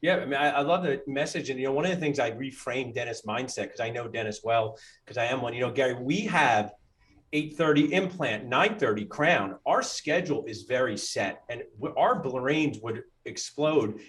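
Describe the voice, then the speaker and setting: Dentist guy hosting a podcast chat, lively, cuts in a lot, mid voice. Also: medium pitch, moderate pace. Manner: animated, interjecting, rhetorical. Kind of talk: casual interview chat.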